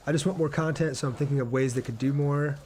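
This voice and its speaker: A man's voice: a little high, fairly even intonation, fast talker, darkish tone, slight background noise. A man doing casual live gameplay commentary as a streamer, chatty, thinking aloud and reading out viewer messages.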